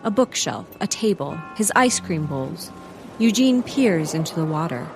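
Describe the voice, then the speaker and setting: A woman's voice: low voice, very animated, unhurried, heavily acted. A woman narrating a scripted comedy audio drama in a wry, playful storytelling manner. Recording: music behind the voice.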